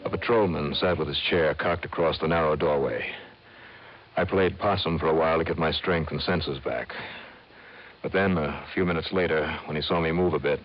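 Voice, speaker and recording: Hard-boiled radio detective, muffled vintage sound. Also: male voice, rumbling low, normal speed, slight background noise. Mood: cool, narrating. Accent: American. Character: tough, wry male insurance investigator, detective hero. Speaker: actor and first-person narrator of detective drama. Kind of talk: old-time radio detective drama acting. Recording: muffled vintage radio recording, music behind.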